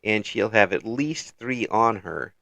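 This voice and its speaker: A man's voice: on the low side, expressive, measured pace, creaky, background noise. A man hosting a casual interview. He chuckles often and restarts his phrases. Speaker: interview host.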